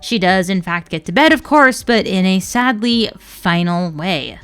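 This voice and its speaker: A woman presenting scripted analytical narration as a video essayist, articulate and measured, with dryly wry asides. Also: mid-range voice, lively intonation, fairly bright tone, clear, resonant tone, soft background music.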